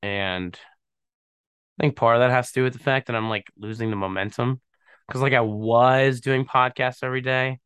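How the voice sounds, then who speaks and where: A man's voice: mid-range voice, steady tone, moderate pace, fairly bright tone, smooth, no echo, studio quality. A young man hosting a podcast, talking in a rambling, slangy way and laughing often, with a stuffed-up nose from a cold. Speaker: podcast host. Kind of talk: casual solo chat.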